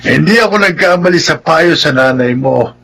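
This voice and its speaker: Old grandfather, crackly gravelly voice, raised pitch, kindly, faint noise, radio drama. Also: lively intonation, moderate pace, pushed and bright, heavily acted. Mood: kindly, approving. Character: kindly old grandfather giving gentle advice. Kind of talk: Tagalog radio drama acting.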